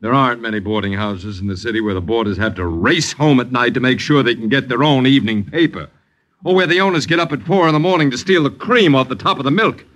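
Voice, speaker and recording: An actor playing an uppity, needling boarder in a 1950s radio drama, with florid diction and mock politeness. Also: male voice, mid-range voice, fast, fairly bright tone, lightly acted. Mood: sardonic. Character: suave, sardonic, uppity boarder who needles his landlords.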